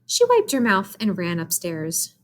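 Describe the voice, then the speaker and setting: A woman reads a storybook aloud for children. Her delivery is very animated, with lively swings in pitch, and she addresses her young listeners directly as she goes. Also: measured pace. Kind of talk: expressive children's read-aloud.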